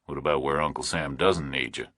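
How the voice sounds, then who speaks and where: A shrewd, unimpressed ex-military investigator in a thriller audiobook , played by a man. His questions are blunt and dry, in a deep, husky voice with a close, dry sound. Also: brisk, fairly bright tone, no room sound, heavily acted. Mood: probing, dry.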